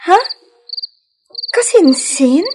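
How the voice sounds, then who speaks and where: A woman playing a gentle, dutiful young village woman in an Ilocano radio love drama, with an Ilocano accent. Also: very high voice, lively intonation, drawn-out words, background noise, full character voice. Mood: polite, bright.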